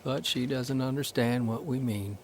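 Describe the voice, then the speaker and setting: A man's voice: medium pitch, normal speed, faint music behind, close to an everyday voice. A man playing a fond young newspaper columnist. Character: young male newspaper columnist, earnest, reflective. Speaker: actor reading a column in character. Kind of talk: scripted narration and radio drama acting.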